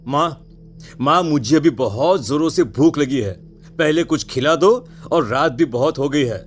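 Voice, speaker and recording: A man playing a headstrong seventeen-year-old son in an animated horror story, exasperated and insistent. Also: a little high, measured pace, soft background music, natural voice. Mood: reassuring, dismissive.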